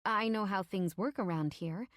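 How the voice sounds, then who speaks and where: A woman's voice: mid-range voice, moderate pace, no echo, close to an everyday voice. A nervous young woman acted by a female narrator in an audiobook. She is hesitant and eager to placate, with defiance held under, in a close, dry recording. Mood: nervously placating. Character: anxious, intimidated young woman animal keeper, secretly defiant. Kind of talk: audiobook character acting.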